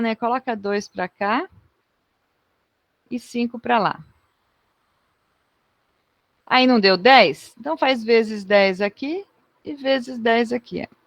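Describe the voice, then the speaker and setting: A woman's voice: fairly high pitch, no echo, highs cut off. A woman teaching a math lesson in a chatty way, often checking in with her students.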